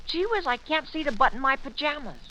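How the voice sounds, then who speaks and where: A man's voice: extremely high pitch, lively intonation, fairly quick, sharp tone, slight background noise, heavily acted. A man playing an awkward teenage American boy with a breaking adolescent voice in a 1940s radio situation comedy, earnest and whiny. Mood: whiny, bewildered. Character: awkward, eager teenage boy, hapless son. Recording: muffled vintage 1940s radio recording.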